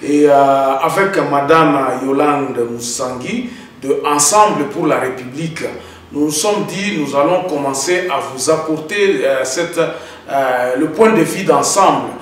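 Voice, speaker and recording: A man hosting a political interview in a halting, wordy conversational way. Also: fairly high pitch. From Democratic Republic of the Congo. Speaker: host. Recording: echoey, distant mic, faint noise.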